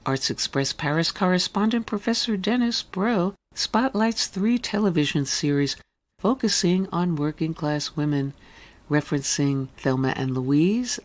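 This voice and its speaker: Radio host reading a scripted introduction. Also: mid-range voice.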